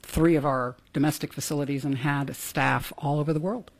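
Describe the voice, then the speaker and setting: A middle-aged woman from Venezuela speaks as a candidate on a talk show, with a slightly husky voice. She tells her story fluently and jokes at her own expense. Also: low voice, fairly even intonation, moderate pace. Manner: self-deprecating, joking, fluent. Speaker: political candidate. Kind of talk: interview guest.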